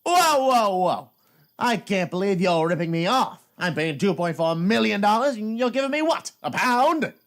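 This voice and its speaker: A man's voice: high-pitched, big pitch swings, moderate pace, a bit bright, heavily acted. An actor playing a glib, cocky inventor and wheeler-dealer in an audio drama, with a salesman's patter. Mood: indignant haggling.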